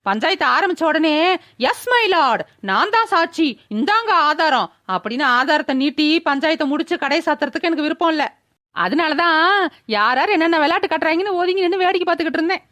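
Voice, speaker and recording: Woman voicing a high-pitched sassy village woman, dry sound. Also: animated, fast, a bit bright, dry recording. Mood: smug, teasing. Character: cheeky, theatrical young village woman. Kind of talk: Tamil village comedy cartoon dub.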